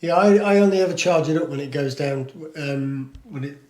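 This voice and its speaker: A man co-presenting in casual chat, jokey and quick to chip in. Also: a little high, darkish tone. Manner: jokey, interjecting. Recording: echoey room, faint background noise.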